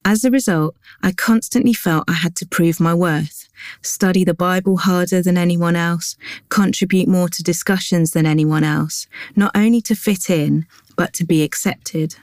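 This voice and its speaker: A woman giving a calm, measured scripted devotional reading that moves into a guided prayer. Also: fairly low pitch, no room sound, clean studio sound. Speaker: devotional reader.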